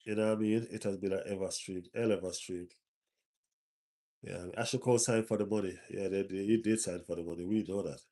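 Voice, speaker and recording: A man's voice: low-pitched, fairly even intonation, fairly quick, dark tone. A man with a stuffy nose hosting a casual live stream as a football fan, repeating his phrases for emphasis.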